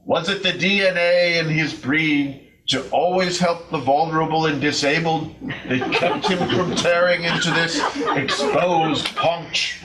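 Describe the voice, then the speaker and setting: A man reading a story in a measured, theatrical, suspenseful way, as a readers theater performer. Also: fairly high pitch, fairly bright tone. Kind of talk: dramatic storytelling to an audience. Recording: distant mic, stage show video.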